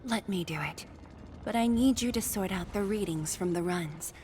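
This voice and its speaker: A woman's voice: mid-range voice, moderate pace, darkish tone, natural voice. A woman playing a composed, commanding lead scientist in a video game, giving firm, clipped instructions in a reverberant space with faint noise. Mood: focused, commanding. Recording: long hall reverb, faint lab noise.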